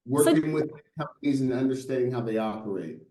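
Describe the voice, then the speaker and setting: This is a middle-aged man sharing stories in a relaxed interview. He has a soft, mellow tone and keeps checking in with rhetorical "right?" asides. His voice rings a little in an echoey room. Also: mid-range voice, a little fast. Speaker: guest, brand designer. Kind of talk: casual interview chat.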